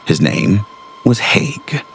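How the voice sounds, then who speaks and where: A man giving measured, portentous scripted narration of a gothic tale. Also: fairly bright tone, slight background noise.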